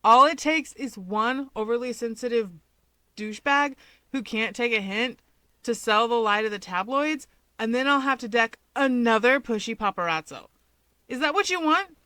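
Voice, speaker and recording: A woman's voice: fairly high pitch, expressive, normal speed, bright, forceful tone, no room sound, pitched above the performer's own voice. A woman voicing a brash, blunt hero woman with a teasing, exasperated, sardonic manner in a story reading. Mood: irritated, brash.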